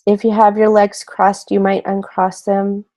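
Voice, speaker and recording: A woman leading a guided meditation in a gentle, soothing way, unhurried and pausing between short phrases. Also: mid-range voice, monotone.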